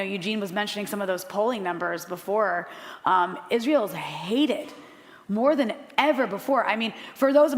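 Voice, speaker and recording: A woman's voice: mid-range voice, fairly bright tone. Impassioned woman, reverberant room. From United States. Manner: passionate, blunt, with frequent "um" fillers. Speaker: panelist journalist. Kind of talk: impassioned panel talk. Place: live panel in a room with an audience.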